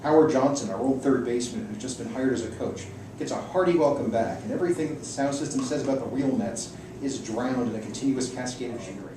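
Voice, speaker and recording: A man reads a personal essay to an audience in a medium-pitched voice. The room is strongly echoey and he sounds distant from the microphone. Clear noise sits in the recording. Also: fast. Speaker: reader at a library book reading. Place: indoor room at a public library event.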